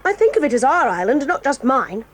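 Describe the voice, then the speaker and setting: Bossy tomboy girl, high and really lively, bit of noise behind. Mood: excited, lively. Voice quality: brisk, bossy and eager. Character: bold tomboy girl, proud and quick-tempered. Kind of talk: 1980s audio drama acting.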